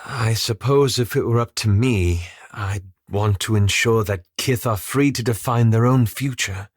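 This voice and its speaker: An actor playing a reserved, bookish adventurer in a video game, speaking formally and politely with cautious, apologetic hesitance. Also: male voice, on the low side, unhurried, slightly rough, dry recording, studio quality. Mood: earnest, reflective. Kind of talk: video game character dialogue.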